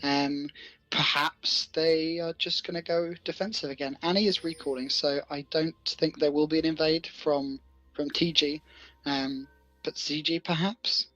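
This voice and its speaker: A man's voice: on the high side, steady tone, measured pace, a bit bright, dry recording, muffled recording, faint music behind. A man doing casual esports commentary, thinking aloud as he analyses and calls the play.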